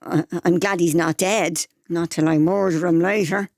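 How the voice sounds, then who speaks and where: A woman's voice: lowish voice, steady tone, moderate pace, a little mellow, no room sound, studio quality, natural voice. A woman playing a short-tempered, vain, indignant Irish wife with a Dublin accent in a comedy radio drama. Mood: exasperated. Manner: scolding, indignant, mild swearing.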